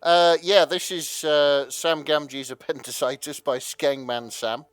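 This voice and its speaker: A man's voice: fairly high pitch, fairly even intonation, unhurried, clear, resonant tone, no echo. A man chatting casually as a panellist on a podcast. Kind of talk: casual banter chat.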